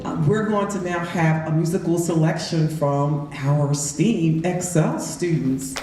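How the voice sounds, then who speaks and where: Raspy lady emceeing a school event, slow talker, far from the mic, super echoey hall. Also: low-pitched. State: raspy from pollen. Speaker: master of ceremonies. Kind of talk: ceremony announcing. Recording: echoey hall, distant mic, faint noise.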